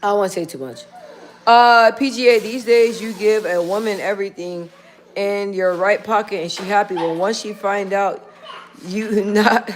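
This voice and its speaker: A woman's voice: medium pitch, normal speed, slight background noise. A woman chatting casually on a livestream, reading out viewer comments and talking aside to people in the room, rambling and laughing often. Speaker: livestream host.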